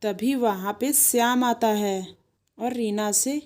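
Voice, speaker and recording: High-pitched woman narrating a moral story. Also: slow pace, a little mellow. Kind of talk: scripted storytelling narration for an animated moral tale.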